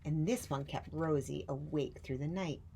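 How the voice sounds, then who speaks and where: A woman reading a children's storybook aloud with measured, dramatic emphasis. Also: low voice, dark tone, slight background noise. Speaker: storytime reader. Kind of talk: expressive children's storybook narration.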